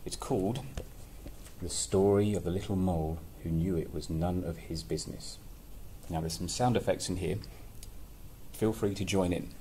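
A man's voice: sits low, fairly even intonation, moderate pace, mellow. A man in his thirties reading a picture book expressively, with playful, chatty asides. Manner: playful, chatty asides between read passages. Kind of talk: expressive children's story reading with casual asides. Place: small plain indoor office-like room. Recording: clearly noisy room recording.

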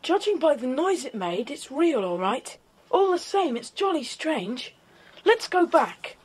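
A woman's voice: high-pitched, sing-song, a little slow. A woman playing a keen, sensible English schoolboy leader in a children's audio drama, with a British accent. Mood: thoughtful. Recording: faint noisy 1990s cassette audio drama.

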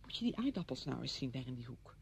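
An actress in a Dutch radio play, playing a brisk, patronising woman who fusses chattily over a widowed friend. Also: very animated, vocal fry, full character voice. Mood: fussing, disapproving. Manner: chatty, fussing, condescending. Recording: dull radio recording with rumbling noise.

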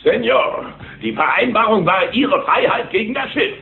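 An actor playing a menacing, haughty sea captain with a theatrical, scornful delivery in a cartoon audio drama. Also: male voice, sits high, animated, normal speed, creaky. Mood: haughty, secretive. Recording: muffled, roomy old cassette.

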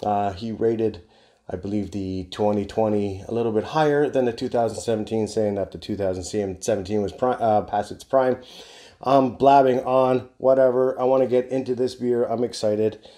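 Man, forties, soft-edged warm tone, lowish pitch, casual video reviewer, rambling, keen, sweary. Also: steady tone, normal speed. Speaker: beer reviewer, video host. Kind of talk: casual review chat to camera. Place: indoor home bar room.

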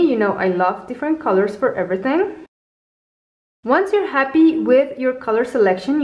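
A woman's voice: a little high, darkish tone, lo-fi sound. A woman hosting a tutorial, patiently explaining each step as she works on a tablet. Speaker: tutorial host. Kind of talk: step-by-step app tutorial narration. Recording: roomy echo.

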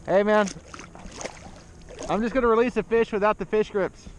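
A young man fishing from a kayak, joking and hollering excitedly as fish come in, recorded on a head-mounted action camera out on the water. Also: sits high, moderate pace, a little hiss or hum. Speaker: kayak angler. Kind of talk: casual fishing banter. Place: kayak on open bay outdoors.

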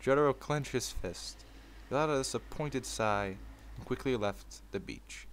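A man's voice: medium pitch, moderate pace, slight background noise. A man in an informal, sweary manner, hosting a video and moving between casual commentary and storytelling. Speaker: video host reading fan fiction aloud.

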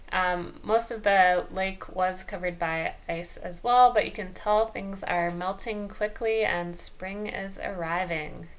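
Woman from Canada, vlog host; clean, smooth tone, relaxed voice-over with filler ums. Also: mid-range voice.

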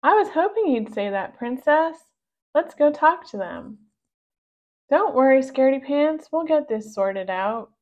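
A woman's voice: sits high, big pitch swings, unhurried, narrow, tinny audio, pitched above the performer's own voice. A woman voicing an eager, helpful girl, a loyal friend in a storybook, earnest and encouraging.

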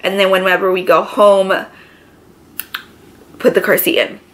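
A pregnant young woman with a raspy, allergy-congested voice, chatting casually to camera from her living room couch, full of filler words. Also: mid-range voice, little pitch movement, unhurried, a bit bright, low-quality recording. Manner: chatty, lots of filler words, gestures with both hands. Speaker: vlogger. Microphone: camera in front, medium framing.

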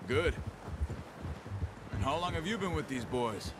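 Terse, stoic outlaw tracker. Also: male voice, a little high, animated, music in the background, poor audio. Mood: frank, reflective. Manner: terse, measured. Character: calm, stoic male outlaw hunter and tracker. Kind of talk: naturalistic Western video game acting.

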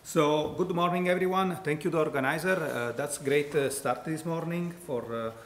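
A man's voice: on the high side, moderate pace. Hesitant man in an echoey hall. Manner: hesitant, many fillers and restarts. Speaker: introducer at a conference. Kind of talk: formal introduction speech. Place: large hall. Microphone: handheld mic at a lectern. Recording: echoey hall, far from the mic.

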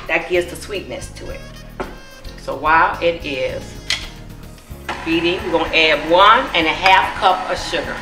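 A middle-aged woman, a cookbook author, demonstrating a recipe in her home kitchen, explaining each step in a warm, encouraging way. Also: low voice, a little slow, pauses often. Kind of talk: cooking demonstration. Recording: echoey kitchen with music behind.

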